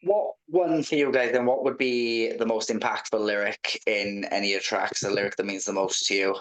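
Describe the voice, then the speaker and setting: A man doing a casual interview, speaking in a halting, informal way. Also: fairly low pitch, steady tone, fairly bright tone, poor audio.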